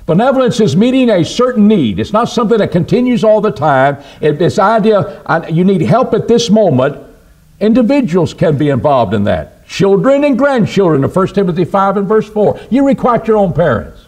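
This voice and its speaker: An older man preaches from behind a church pulpit, his voice climbing and dropping as he works through his points. He sits a little high for a man and keeps throwing questions at the room before he answers them. He speaks into a pulpit microphone on a stand. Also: normal speed. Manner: lively, rises and drops in pitch for emphasis, asks rhetorical questions. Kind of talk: sermon. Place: church auditorium at a wooden pulpit.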